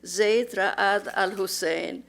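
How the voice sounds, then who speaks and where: A woman in her nineties, born in Austria, giving a slow, measured, formal speech as a museum volunteer in a museum auditorium. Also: mid-range voice, fairly even intonation, vocal fry, fairly bright tone. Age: 90s. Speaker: museum volunteer and Holocaust survivor. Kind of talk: prepared public speech.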